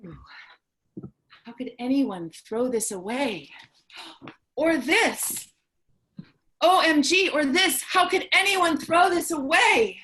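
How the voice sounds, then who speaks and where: A woman playing a bright, quirky, wide-eyed young dreamer. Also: sits high, animated, slow pace, pauses often, exaggerated voice, rough recording. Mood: amazed delight. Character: quirky, earnest working woman who treasures discarded junk. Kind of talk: staged play reading.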